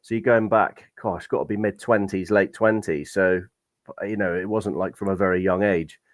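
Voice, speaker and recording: A man's voice: low-pitched, fairly even intonation, moderate pace, dry recording. A man in his late thirties from the United Kingdom with a British accent, co-hosting a casual podcast in a laid-back, dry manner. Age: 30s. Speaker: podcast co-host. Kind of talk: casual chat.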